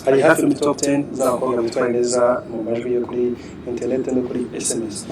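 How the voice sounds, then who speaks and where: A man's voice: mid-range voice, flat delivery, fast. A man hosting an interview, asking short questions and murmuring agreement. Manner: brief prompts and murmured agreement. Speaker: interview host. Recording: distant mic, crowd noise.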